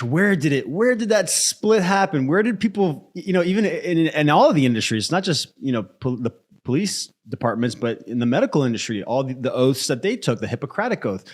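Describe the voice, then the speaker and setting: A man from Brazil who grew up in the United States, hosting a casual interview in a halting, circling way. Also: medium pitch. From born in Brazil, grew up in the United States from age eight. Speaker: podcast host.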